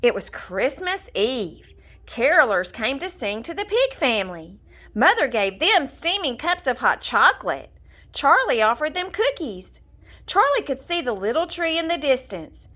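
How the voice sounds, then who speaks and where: Bright, high-pitched woman reading a children's story. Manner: expressive, deliberate, storytelling delivery. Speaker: storybook reader. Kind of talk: scripted children's story narration, read aloud.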